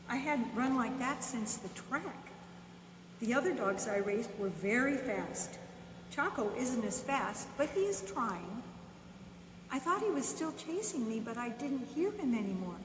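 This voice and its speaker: A woman reading a children's bedtime story aloud in the first person, unhurried, pausing between short phrases. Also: a little high. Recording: echoey reverberant room, distant mic, faint noise.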